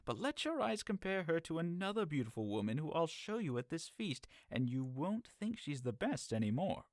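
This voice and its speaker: A brisk, teasing young confidant played by a man in an audio drama. He sounds persuasive and animated, slightly high with very lively intonation, in a dry recording. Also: no room sound. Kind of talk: audio drama acting of modernized Shakespeare.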